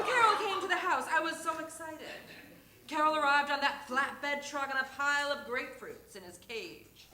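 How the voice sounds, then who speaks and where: Higher-voiced woman storyteller in a roomy theatre. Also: pushed and bright. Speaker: reader of a humorous memoir story. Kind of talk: live dramatic reading of a comic personal story. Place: theatre stage before an audience. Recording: roomy, noisy live stage recording.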